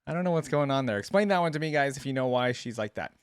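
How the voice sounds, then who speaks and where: A man hosting a reaction video, chatting informally and slangily as he thinks out loud. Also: medium pitch, expressive, fast, noisy background. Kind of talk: casual reaction commentary.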